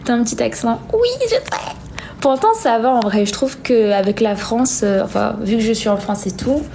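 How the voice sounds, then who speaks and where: A woman's voice: fairly high pitch, normal speed, gravelly, dark tone, a little hiss or hum. A young woman hosting a live stream, chatting casually as she reads out and answers viewer comments in an informal, hesitant way, often repeating herself. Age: young adult.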